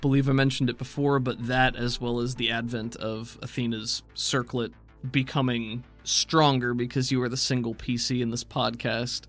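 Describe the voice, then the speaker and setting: A man with an American accent talking casually as a game master, explaining rules and narrating in a measured, conversational way. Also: mid-range voice, little pitch movement, a bit bright, faint music behind. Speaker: game master and podcast host.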